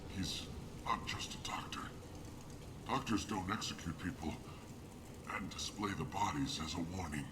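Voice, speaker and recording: An actor playing a bitter, dying plague-stricken alien in a video game, sick and weak, with a breathy rasp and formal, accusing speech. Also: male voice, low voice, moderate pace, pauses often, echoey room, distant mic, background noise, lightly acted. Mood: wary, grave. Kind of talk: video game character acting.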